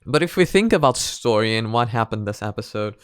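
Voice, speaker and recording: A man's voice: fairly low pitch, lively intonation, a little fast, clear, resonant tone, dry recording, clean studio sound. A man giving casual, unscripted reaction commentary as a video reviewer, speaking hesitantly, rambling and repeating himself. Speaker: video reviewer reacting to an animated parody series.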